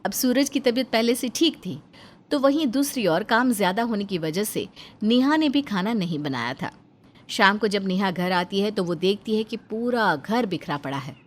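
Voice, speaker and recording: A woman's voice: medium pitch, lively intonation, moderate pace. A woman narrator reading a scripted family story.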